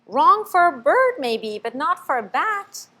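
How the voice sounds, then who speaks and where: A woman doing a loud bossy male bat. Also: sits high, sing-song, measured pace, pitched above the performer's own voice, exaggerated voice. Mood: insistent. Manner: loud and insistent. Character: loud, blunt male bat, know-it-all.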